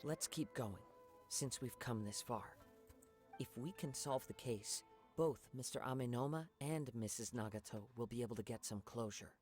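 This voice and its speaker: Man voicing a mellow, thoughtful young swordsman. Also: medium pitch, lightly acted. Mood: calm, resolved. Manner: measured, formal, pensive. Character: calm, thoughtful young wandering swordsman, polite sleuth. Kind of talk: video game character acting. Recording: game music behind the voice.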